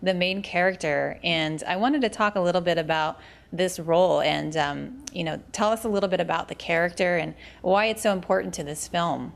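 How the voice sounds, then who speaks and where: A woman hosting a casual interview, friendly and quick to laugh. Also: on the low side, creaky. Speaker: interview host.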